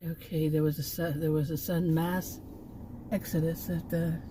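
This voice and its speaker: A woman's voice: low voice, flat delivery, normal speed, background noise. A middle-aged woman from Texas with a Texan accent, telling a rambling, emphatic story about a bad experience in casual vlog style, speaking from a car. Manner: exasperated, emphatic, rambling with asides. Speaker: vlogger. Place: in a car by a park.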